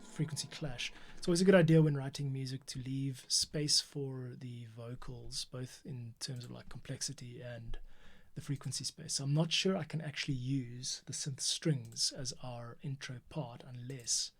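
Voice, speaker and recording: A man's voice: mid-range voice, steady tone, normal speed, few pauses. A man giving a casual editing tutorial, thinking aloud and muttering to himself as he works at his keyboard. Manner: muttering to himself while working. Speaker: podcast editor giving a tutorial. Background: keyboard typing and mouse clicks.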